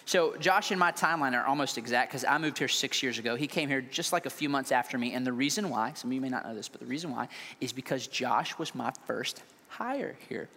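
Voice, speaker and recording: An energetic, joking man, a pastor, interviewing a colleague on stage with loud emphatic bursts. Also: medium pitch, brisk, gravelly. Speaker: lead pastor. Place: large church hall. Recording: reverberant hall, faint noise.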